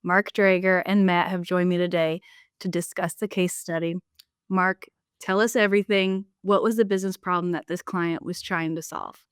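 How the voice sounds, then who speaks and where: Smooth-voiced woman hosting a business podcast. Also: fairly low pitch, steady tone, moderate pace, dry recording, clean studio sound. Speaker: podcast host.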